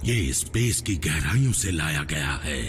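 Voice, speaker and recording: A man voicing a menacing, commanding robot warlord in a cartoon dub, speaking slowly and imperiously. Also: rumbling low, expressive, music in the background. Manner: slow, imperious, threatening.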